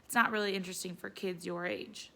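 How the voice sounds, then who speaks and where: A woman's voice: fairly low pitch, fairly even intonation, fairly quick, sharp tone. A woman voicing a concerned, affectionate mother in a storybook, earnest and questioning. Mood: gentle explaining.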